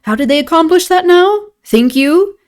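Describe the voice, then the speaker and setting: A woman voicing a polite, flattering male stranger in a novel, shrewd and cheerful, with a lilting manner. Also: very high-pitched, moderate pace, voice pushed up. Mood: quietly probing. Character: smooth courteous gentleman stranger, shrewd and cheerful.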